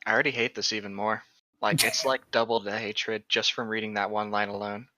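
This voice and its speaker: A man's voice: fairly low pitch, little pitch movement, fairly quick, bright, forceful tone, dry recording. A man co-hosting a casual read-along, bantering and sardonic as he mocks the text, on a slightly muffled recording. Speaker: co-host of a comedic book-reading show.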